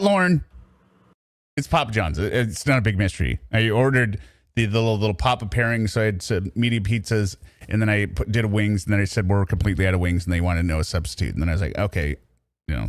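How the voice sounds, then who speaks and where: A man's voice: fairly low pitch, fairly bright tone, dry recording. A man hosting a casual livestream, reacting and commenting in a chatty, teasing, mock-exasperated way. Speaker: livestream host.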